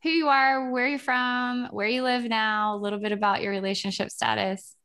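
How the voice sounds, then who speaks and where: A woman's voice: a little high, level tone, moderate pace, bright, forceful tone, clear, resonant tone. A woman around forty from Texas, hosting a podcast panel over a video call in an upbeat, welcoming way. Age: 40s. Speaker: podcast host. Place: home room indoors. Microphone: video call with headset mic.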